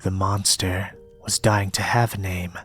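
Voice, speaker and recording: A young man reading a storybook in a soft-spoken, partly whispered ASMR style, with a gentle, measured delivery. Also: sits low, level tone, slightly rough. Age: young adult. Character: polite soft-spoken young male reader, quietly eerie. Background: soft music.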